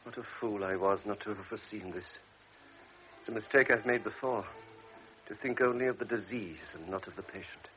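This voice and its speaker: An actor playing a blunt, principled doctor in a vintage radio drama, grave and reproachful. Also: male voice, fairly low pitch, fairly quick, dull audio, natural voice. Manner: grave, then sharply reproachful. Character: stern older male doctor, blunt and principled.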